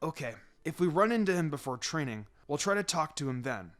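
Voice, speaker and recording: A man's voice: a little high, sing-song, a little fast, fairly bright tone. A man voicing a brash but caring teenage boy ninja in a blunt, casual way. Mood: friendly. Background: background music.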